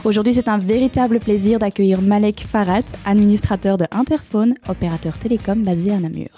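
A woman hosting a podcast, reading a scripted introduction smoothly and asking interview questions. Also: mid-range voice, fairly quick, warm tone, faint music behind. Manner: smooth, read-aloud delivery. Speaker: podcast host.

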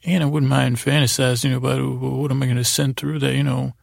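A man with a gravelly, creaky voice hosting a podcast in a rambling bedtime monologue, halting and repeating himself as he meanders along. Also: mid-range voice, little pitch movement, no echo, studio quality. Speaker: podcast host.

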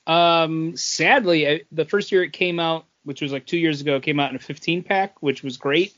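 Higher-voiced man in casual podcast chat. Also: normal speed, a bit bright, dull audio. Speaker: podcast co-host.

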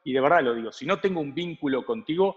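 A man's voice: fairly high pitch, lively intonation, fast. Man in dull, heavily reverberant audio. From Uruguay. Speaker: advertising agency partner, interview guest. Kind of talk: conversational interview answers.

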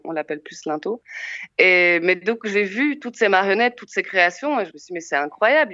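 A woman's voice: fairly low pitch, a bit bright, dry recording, dull audio. A Belgian woman film director, answering interview questions in a hesitant way with many fillers and restarts. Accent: Belgian French.

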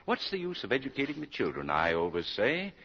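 A genial older college dean with a husky voice that swings widely up and down. He is avuncular and amused in old radio drama style, on a dull vintage broadcast recording. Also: medium pitch, huge pitch swings. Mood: reassuring. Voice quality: gravelly, husky. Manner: avuncular, chuckling, hesitant pauses. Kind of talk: 1940s radio acting.

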